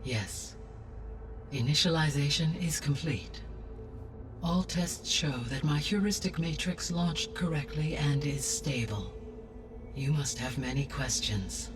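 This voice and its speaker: Male game AI, calm, formal, precise diction, slightly distant, clear background music. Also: a little high. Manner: formal, measured, precisely articulated. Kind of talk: scripted game cutscene dialogue.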